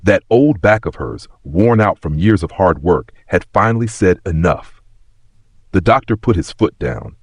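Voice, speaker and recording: Deep-voiced man telling a dramatic story. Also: lively intonation, unhurried, slightly rough, no echo. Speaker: storyteller, narrator. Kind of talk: scripted sentimental story narration.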